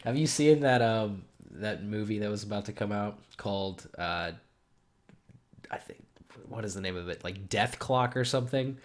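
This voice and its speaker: A man's voice: on the low side, moderate pace. A man co-hosting a casual comedy podcast, nasal from a cold, wry and self-deprecating with frequent chuckles. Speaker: podcast co-host.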